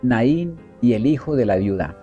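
A man reading a religious book aloud in a slow, solemn, evenly paced manner. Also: mid-range voice, lively intonation, narrow, tinny audio, over music. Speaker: reader. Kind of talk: measured scripted book reading.

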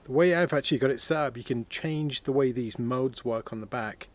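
A man with an ordinary mid-range voice talks through his camping gear in an easy, unhurried way. He is sitting in a warm canvas tent on a winter night, showing each item to the camera as he speaks. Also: medium pitch. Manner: relaxed, chatty, thinks aloud with fillers. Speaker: outdoor vlogger. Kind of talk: casual gear review and camping vlog.

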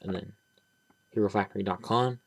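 A man giving a casual, rambling toy review, pausing and restarting often as he handles the pieces. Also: fairly low pitch, fairly even intonation, vocal fry, a little hiss or hum. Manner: rambling, halting, many restarts and trailing "but yeah". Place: small indoor room at a table. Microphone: camera close on hands, speaker off-frame.

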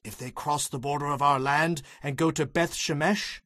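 An actor playing a solemn, commanding religious leader of ancient times in a dramatized audio Bible, earnestly exhorting. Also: male voice, on the high side, steady tone, normal speed, sharp tone, no room sound, studio quality. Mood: solemn warning.

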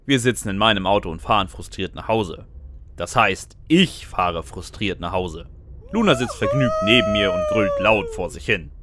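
A man's voice: fairly low pitch, huge pitch swings, moderate pace, bright, forceful tone, heavily acted. A man playing a sardonic, lonely narrator in a scripted first-person audio drama, with a dry manner. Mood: frustrated, dry. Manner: dry, sarcastic. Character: frustrated, sardonic male narrator, lonely and sentimental underneath. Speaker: narrator and lead character. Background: music.

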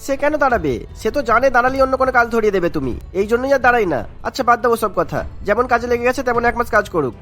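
A man's voice: very high voice, lively intonation, fast talker, hardly any pauses, a bit bright, soft background music. A man voicing an irritated, accusatory husband in a cartoon story. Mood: blaming. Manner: nagging, accusatory. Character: irritated husband, blaming and disapproving.

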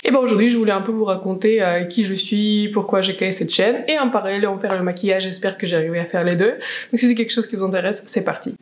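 A woman's voice: medium pitch, fast. A woman in her twenties from Russia, speaking with a Russian accent, chatting casually as she does her makeup, with lots of fillers and restarts. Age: 20s. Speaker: beauty vlogger. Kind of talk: casual chat while doing makeup. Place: small room indoors, plain wall.

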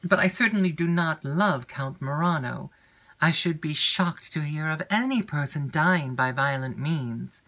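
A woman voicing a grave young lady, low and measured. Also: a bit bright, heavily acted. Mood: composed. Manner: measured, restrained delivery. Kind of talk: audiobook character reading.

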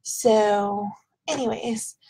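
A woman in her thirties, a bit hoarse from illness, chatting casually at her webcam in a small home office while unboxing products, with plenty of ums. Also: a little high, steady tone, extremely slow, warm tone, rough recording. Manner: chatty, rambling, with frequent ums. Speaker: product vlogger. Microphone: webcam at desk.

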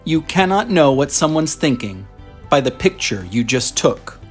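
An American man in his thirties hosting a children's read-aloud show, speaking to young viewers in clear, simple phrases as he presents and reads from a script. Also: medium pitch, big pitch swings, unhurried, roomy sound, soft background music. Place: small furnished room indoors.

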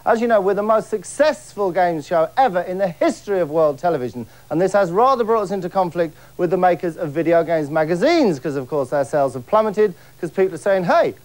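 A man's voice: sits high, darkish tone, poor audio. A young man hosting a TV game show in a studio, with a camp, mock-grand showman delivery. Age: young adult. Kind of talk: theatrical showman presenting.

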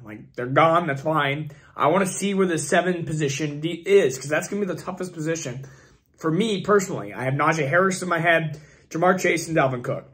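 A young man with a hoarse, fading voice hosts his channel, rambling and thinking aloud with many fillers as he talks through his picks. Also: a little high, fairly bright tone. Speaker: fantasy football channel host. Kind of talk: casual screen-share commentary.

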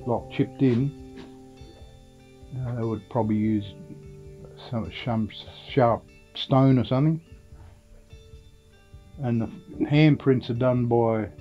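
An older man with an Australian accent telling stories informally on location in a plain-spoken, halting, conversational way. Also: mid-range voice, muffled recording. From Western Australia. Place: outdoors in open dry country. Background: guitar music.

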